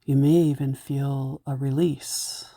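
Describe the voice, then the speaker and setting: Slow, low-voiced woman guiding meditation. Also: flat delivery, vocal fry, dry recording. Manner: gentle, unhurried, soothing instruction. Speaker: meditation guide. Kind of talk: guided meditation narration.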